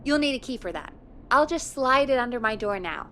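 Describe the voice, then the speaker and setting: A small-town radio producer, a woman with a fairly high voice. She is frank and practical, with a dry matter-of-fact delivery in a video game, over faint background noise. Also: lively intonation, fairly quick, pushed and bright. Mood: matter-of-fact, wry. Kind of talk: video game voice acting, conversational.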